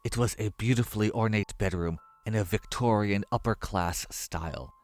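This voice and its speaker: A man's voice: on the low side, normal speed, a little mellow. A man reading scripted horror story narration, with music behind his voice.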